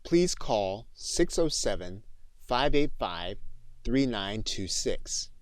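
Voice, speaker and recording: A man giving a methodical, clearly enunciated software tutorial as an instructor. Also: lowish voice, big pitch swings, slow. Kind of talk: scripted tutorial narration.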